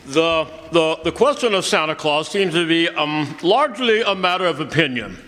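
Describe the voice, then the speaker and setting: Guy doing old stammery judge, radio drama, really swoopy sharp voice, big hall echo. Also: fairly high pitch, expressive, moderate pace, slight background noise. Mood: flustered, hesitant. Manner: hesitant, stammering, hemming. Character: flustered elderly judge and grandfather, anxious politician. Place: live performance on a college campus. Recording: reverberant hall stage recording.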